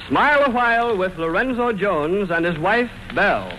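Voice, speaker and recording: A male announcer with a high voice introduces a vintage radio serial. Loud music plays right along with his scripted narration. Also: expressive, normal speed, rough recording.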